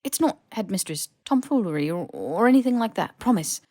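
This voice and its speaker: A woman voicing an earnest, awkward teenage boy wizard in an audiobook, halting and stammering as the character defends himself. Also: medium pitch, animated, dark tone, dry recording, clean studio sound, lightly acted. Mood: hasty, placating.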